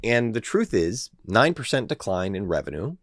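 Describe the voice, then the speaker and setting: Lively low-voiced man analyzing stocks. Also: moderate pace, no room sound, studio quality. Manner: analytical, emphatic. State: slightly congested from a head cold. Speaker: investing channel host.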